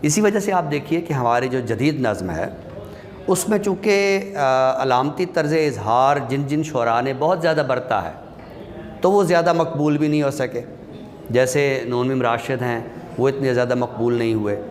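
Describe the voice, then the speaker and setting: Male lecturer, mid-pitched, explanatory classroom delivery, long room reverb. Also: animated. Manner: explanatory, addresses listeners directly. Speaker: literature lecturer. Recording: reverberant hall, clear background noise.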